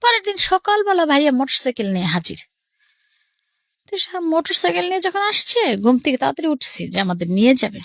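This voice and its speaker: Bangladeshi woman, fairly high voice, gravelly fry, casual personal storytelling, quoted dialogue. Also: very animated, fast talker, a bit bright, dry recording, clean studio sound. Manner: conversational, often quoting family dialogue. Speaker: guest telling her life story.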